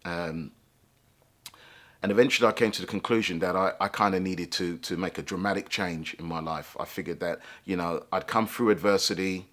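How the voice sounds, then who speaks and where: A man with a deep, low-pitched voice tells his own life story. He speaks reflectively and thoughtfully, often pausing to find the right words. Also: a bit bright. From England, London. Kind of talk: personal life story told to camera.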